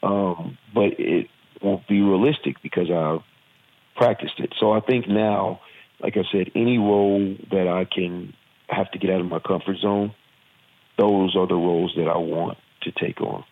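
A man's voice: low-pitched, steady tone, unhurried. A man from Virginia with a Southern accent, an actor and former football player, giving earnest, reflective interview answers with many fillers. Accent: Southern American. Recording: tinny phone-like line.

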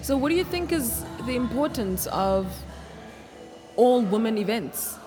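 A woman interviewing a young guest in casual chat, full of affirming compliments and quick follow-up questions. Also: a little high, animated. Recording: music playing behind.

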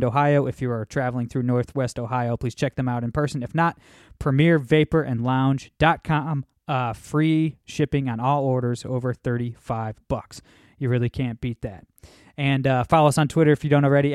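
A man's voice: medium pitch, steady tone, moderate pace, a little mellow, dry recording, clean studio sound. A man hosting a podcast in a casual, upbeat way, with lots of fillers. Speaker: podcast host.

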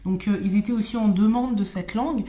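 A woman's voice: mid-range voice, fairly quick, mellow. A woman co-hosting a casual conversation, chatty, with easy laughs and many fillers.

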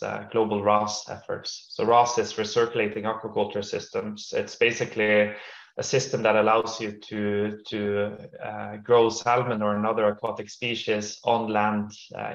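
Low gravelly man from northern Norway, echoey. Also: monotone, lo-fi sound. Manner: measured, with frequent repeats and fillers. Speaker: startup CEO guest. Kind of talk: interview answers.

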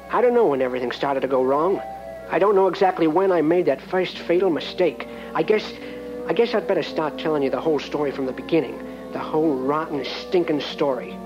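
A man's voice: on the high side, brisk, lo-fi sound, over music, natural voice. An actor narrating as an earnest, naive small-town male cop, melodramatic and jittery. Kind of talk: comic hard-boiled narration.

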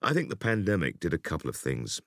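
A man with an extremely low voice reads scripted interview answers for an audiobook. His delivery is measured and emphatic, with lively rises and falls and a gravelly creak. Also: no echo, clean studio sound. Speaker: audiobook reader.

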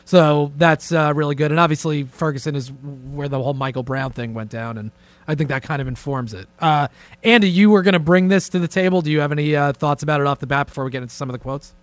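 Higher-voiced man hosting a casual podcast. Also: quick pace, dry recording. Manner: informal, joking, takes charge of the talk. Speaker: podcast host. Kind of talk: casual profane talk show chat. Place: indoors, remote conference call set up with camera.

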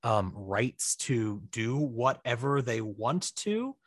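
A man's voice: medium pitch, lively intonation, slow, no echo, clean studio sound. A man talking casually as a guest in a podcast interview, explaining things chattily with plenty of asides. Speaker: interview guest, writer-director.

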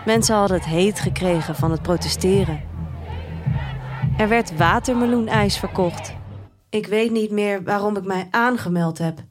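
A woman's voice: medium pitch, normal speed, soft background music, a faint crowd, lightly acted. An actress playing a troubled woman who tells a bleak future tale in an introspective, dramatic monologue for an audio drama. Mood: wistful. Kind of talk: literary first-person audio drama monologue.

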